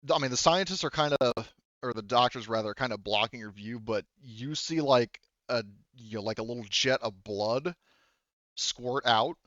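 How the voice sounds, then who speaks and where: A man narrating a tabletop roleplaying game as game master, informal and halting, often correcting himself. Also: medium pitch, fairly bright tone, no echo, highs cut off.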